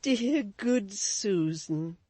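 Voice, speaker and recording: A woman voicing a timid young lady who speaks softly and soothingly. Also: mid-range voice, expressive, extremely slow, husky, dry recording, voice pushed up, exaggerated voice. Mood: gentle. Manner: soft, soothing.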